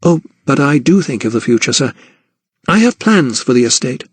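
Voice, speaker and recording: A man voicing a young widowed gentlewoman, polite and restrained. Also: mid-range voice, big pitch swings, moderate pace, slightly rough, no echo.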